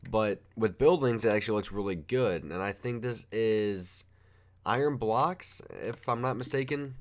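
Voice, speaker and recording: A man's voice: fairly low pitch, measured pace. Easygoing young man with a cold. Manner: rambling, filler-heavy, easygoing. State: has a cold. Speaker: Minecraft builder reviewing a texture pack. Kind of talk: casual gameplay commentary.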